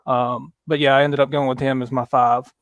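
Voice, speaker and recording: Smooth-voiced man hosting a sports chat. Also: medium pitch, dry recording, highs cut off. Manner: relaxed, halting, lots of fillers, reads viewer comments aloud. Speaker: podcast host. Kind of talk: casual sports chat on a livestream.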